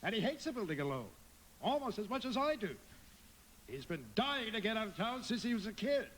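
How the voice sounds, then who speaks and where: An imperious old banker in a live radio play. His high voice swoops up and down as he persuades and bullies with pompous self-assurance. A light hiss sits under the recording. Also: big pitch swings, a little fast, a bit bright. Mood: smug, baiting. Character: scheming, imperious older male banker and tycoon. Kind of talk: live radio drama acting.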